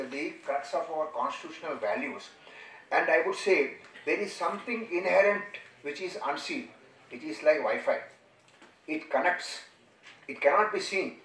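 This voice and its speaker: A man's voice: a little high, fairly bright tone. Indian man lecturing in an echoey room. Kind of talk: formal lecture with slides. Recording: echoey room, distant microphone.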